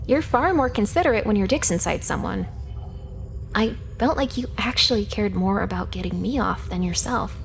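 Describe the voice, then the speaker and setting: A woman's voice: medium pitch, animated, moderate pace, gravelly, soft background music. An actress playing a sardonic, teasing young female hacker in a sci-fi video game, speaking in a matter-of-fact way. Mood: frank, teasing. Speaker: video game character actor. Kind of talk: scripted video game character dialogue.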